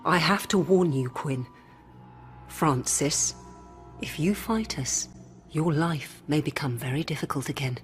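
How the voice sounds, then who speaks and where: Female robot hybrid, low voice, calm, measured, formal, didactic, radio drama, music behind. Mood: quietly warning. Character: calm, coolly rational female robot hybrid in human guise.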